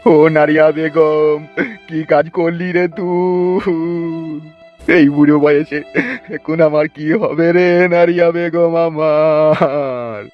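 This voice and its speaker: A man's voice: fairly high pitch, steady tone, slow. A man playing a self-pitying, plaintive old village husband in a comic cartoon, muffled and with music underneath. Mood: wailing. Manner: wailing, plaintive.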